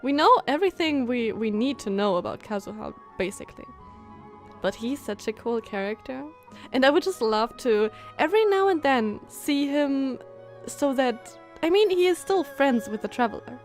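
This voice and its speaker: High-voiced, excitable young woman streamer. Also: moderate pace. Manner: excitable, gushing, giggly. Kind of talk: casual live game commentary. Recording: game music behind the voice.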